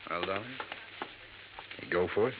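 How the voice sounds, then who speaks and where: Casting: male actor for pragmatic American police lieutenant, deepish and unhurried, weary, old radio sound with heavy noise. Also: close to an everyday voice. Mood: weary, matter-of-fact. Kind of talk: 1950s radio drama acting. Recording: muffled, noisy vintage radio recording.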